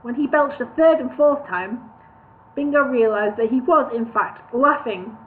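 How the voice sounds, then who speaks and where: A woman's voice: fairly high pitch, measured pace, a little hiss or hum. A woman reading a comic fantasy novel aloud on a livestream, adding chatty asides. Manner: reads with asides, laughs at comments. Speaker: audiobook reader. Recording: tinny phone-like sound.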